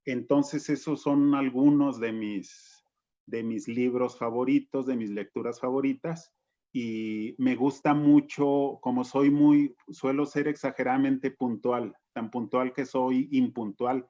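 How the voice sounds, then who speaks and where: Casting: man, average pitch, relaxed conversational storyteller on a panel, dull lo-fi sound. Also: slow. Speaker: panelist talking about favorite books. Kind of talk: casual anecdotal talk at a round table. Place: at home, remote call.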